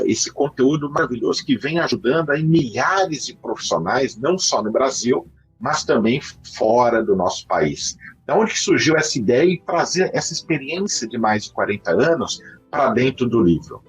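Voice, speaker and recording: A man with a Brazilian Portuguese accent and a rough, husky voice, hosting a podcast in a conversational, promotional style. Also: mid-range voice. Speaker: podcast host. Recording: dull lo-fi sound, faint music.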